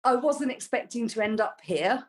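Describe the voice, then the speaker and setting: A woman's voice: fairly high pitch, moderate pace, noisy background. Chatty woman, frequent ums. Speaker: guest, flower farmer. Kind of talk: casual interview chat.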